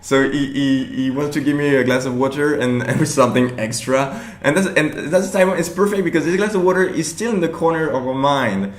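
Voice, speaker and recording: A man talking through his own improv show in a relaxed commentary, halting and restarting often, laughing along the way. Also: on the high side, reverberant. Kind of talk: casual commentary over show footage.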